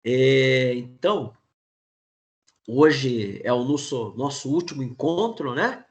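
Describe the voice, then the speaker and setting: A Brazilian man with a cold-roughened, hoarse voice, teaching an online class in a casual, chatty way. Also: medium pitch, lively intonation, slow pace. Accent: Brazilian Portuguese. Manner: casual, frequent filler words and restarts.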